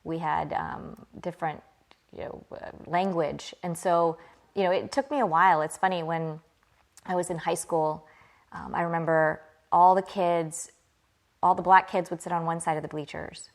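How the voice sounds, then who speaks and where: A woman's voice: lowish voice, steady tone, normal speed, creaky. A woman, an interview guest talking in a casual, reflective, conversational storytelling way. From born in England, grew up in small-town Virginia, USA. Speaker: interview guest, company CEO.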